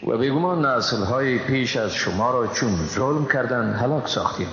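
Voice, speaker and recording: A man's voice: medium pitch, lively intonation, fairly bright tone. A man with an Afghan Dari accent formally reading out a scripture translation. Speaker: translation reader. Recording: very echoey, reverberant, muffled, faint noise.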